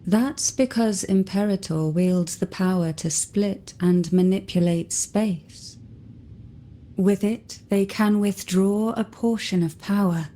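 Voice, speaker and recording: A woman playing an enigmatic, composed noblewoman in a video game, speaking softly in a deliberate, formal, poised manner. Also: mid-range voice, natural voice.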